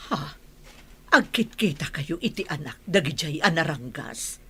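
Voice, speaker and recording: Worried ilocano mom in radio drama, sharp raspy voice, a bit low. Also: female voice, expressive, unhurried, exaggerated voice. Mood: worried, questioning. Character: worried, devout village mother.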